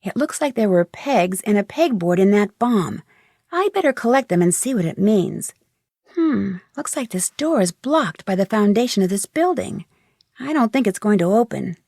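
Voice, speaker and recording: A woman's voice: mid-range voice, expressive, normal speed, mellow, no room sound, studio quality. A woman playing a curious, plucky young amateur detective in a video game, articulate and inquisitive as she thinks things through. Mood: focused, musing. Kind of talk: scripted video game voice acting.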